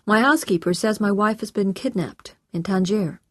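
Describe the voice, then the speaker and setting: A woman voicing a composed, courteous man in an audiobook, with a calm, measured and reassuring manner. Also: mid-range voice, no room sound, clean studio sound, natural voice. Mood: controlled, irritated.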